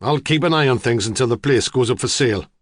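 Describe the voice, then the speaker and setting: A man's voice: mid-range voice, fast talker, dry recording, studio quality, natural voice. A man voicing a squat, blunt older farmer who talks brusquely and impatiently. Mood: gruff.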